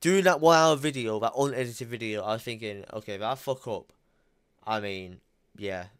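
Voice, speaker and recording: A man's voice: mid-range voice, normal speed, clear, resonant tone, dry recording. A young man talking casually on a call from his home gaming room, blunt and emphatic, swearing now and then. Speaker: YouTuber.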